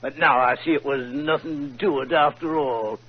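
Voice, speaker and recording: A man voices a plain-spoken, middle-aged shopkeeper who is quick to be amazed. His voice is somewhat high and husky, and it is heard through a muffled old radio broadcast. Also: fairly even intonation, lightly acted. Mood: folksy. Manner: homely, folksy and easily astonished. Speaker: pawnbroker client. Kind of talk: 1940s radio acting.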